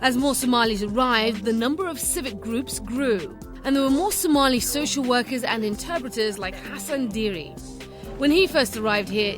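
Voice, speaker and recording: Woman news presenter over music. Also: a little high. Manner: measured, formal delivery. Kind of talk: scripted news narration.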